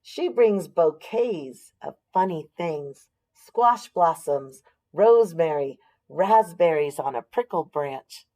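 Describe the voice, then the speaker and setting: A woman's voice: fairly low pitch, expressive, slow pace, hardly any pauses, mellow. An American woman reading a picture book aloud expressively, with explanatory asides and questions for young listeners.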